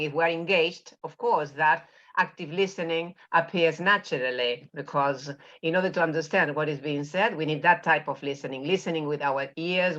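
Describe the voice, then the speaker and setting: A woman panelist in an online discussion, speaking fluently and in a measured way. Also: low-pitched, a bit bright. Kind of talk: online round table discussion. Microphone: video call. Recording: dull lo-fi call audio.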